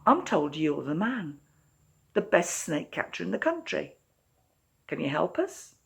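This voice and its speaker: A woman's voice: on the low side, very animated, unhurried, vocal fry, husky, full character voice. A woman voicing a nervous female city mayor in a storybook tale, speaking formally and urgently. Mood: anxious, pleading.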